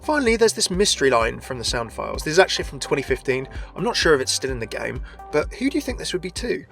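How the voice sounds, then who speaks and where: A man's voice: a little high, very animated, quick pace, gravelly, a bit bright, soft background music. A man hosting a scripted game lore video, chatty and often addressing his viewers directly.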